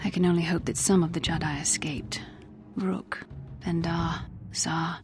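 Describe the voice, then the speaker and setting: An actress playing an earnest, solemn young Jedi warrior in a video game, with formal, grave delivery. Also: female voice, lowish voice, fairly even intonation, unhurried, a little mellow, faint music behind, lightly acted. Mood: grave, sorrowful. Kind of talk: dramatic video game acting.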